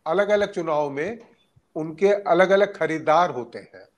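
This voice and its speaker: A man with a slightly high-pitched voice delivers political commentary at a slow pace. He speaks off the cuff like a journalist analysing events, often stopping mid-sentence to search for the next phrase. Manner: halting, with long hesitant pauses mid-sentence.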